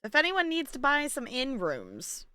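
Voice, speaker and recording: A woman's voice: sits high, wildly swooping pitch, unhurried, sharp tone, no room sound. A woman chatting casually and animatedly as she streams a video game live and talks to her viewers. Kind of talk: casual live stream chat with gameplay commentary.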